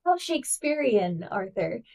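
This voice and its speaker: A woman's voice: on the high side, big pitch swings, darkish tone, recorded from a distance. A woman co-hosting a podcast as guest, chatting eagerly about theater. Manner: enthusiastic, chatty, quick asides. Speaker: guest host. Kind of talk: casual podcast chat.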